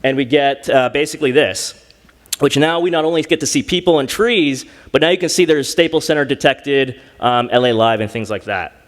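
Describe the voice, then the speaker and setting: A man presenting his hackathon team's project on a conference stage, halting and full of fillers. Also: mid-range voice. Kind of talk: live pitch presentation. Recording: long reverb from a hall.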